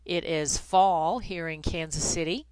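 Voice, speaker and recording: A middle-aged woman with a stuffy, congested nose giving a conversational photography software tutorial, with frequent ums and restarts. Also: sits low, unhurried, clear, resonant tone, no echo. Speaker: photography instructor. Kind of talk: screen-recorded software tutorial. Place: Kansas City, Missouri, USA.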